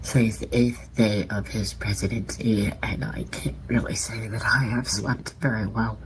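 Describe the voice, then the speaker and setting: A woman giving hushed, hesitant personal testimony in a played clip. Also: a bass voice, steady tone, moderate pace, a little hiss or hum, rough recording.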